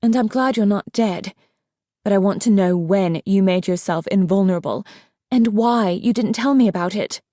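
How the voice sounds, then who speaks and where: A woman's voice: mid-range voice, normal speed, no echo, clean studio sound, natural voice. A woman voicing an intense young female dragon in an audiobook, outspoken, demanding and exasperated. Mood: outraged, exasperated. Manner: forceful, accusing.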